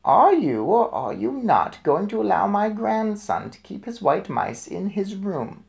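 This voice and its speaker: A man's voice: high-pitched, big pitch swings, measured pace, vocal fry, pitched above the performer's own voice, heavily acted. A man voicing a stern, relentless old grandmother, indignant and emphatic, in a storybook reading. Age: older.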